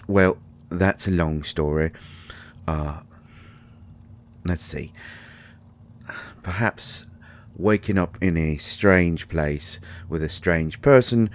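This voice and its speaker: A man acts out a male fairy healer in an intimate roleplay audio. His voice sits very low and he takes his time, hesitating as he goes. He is grumpy and secretive, with a dry, exasperated sarcasm as he tends his patient. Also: a little mellow. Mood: wry, sarcastic.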